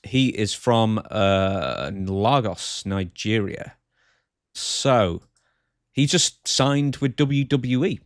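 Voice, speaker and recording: A man's voice: on the low side, lively intonation, extremely slow, no echo, clean studio sound. A man chatting casually as the co-host of a podcast. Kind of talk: casual chat.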